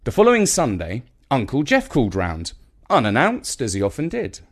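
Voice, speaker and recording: A man reading a children's story in an expressive narrating style. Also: mid-range voice, moderate pace, breathy edge.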